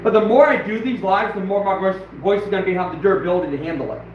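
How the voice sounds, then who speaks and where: A hoarse man who is losing his voice, rambling excitedly and bluntly as he hosts a casual outdoor livestream. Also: high voice, racing, a little hiss or hum. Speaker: trapper and channel host. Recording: very echoey, distant, dull and lo-fi.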